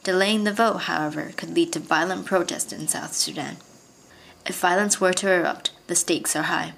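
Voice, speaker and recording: A woman radio reporter reads a scripted news story. She keeps a measured, formal delivery in a low-pitched voice, with faint noise in the background. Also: fairly even intonation, vocal fry, a bit bright, poor audio.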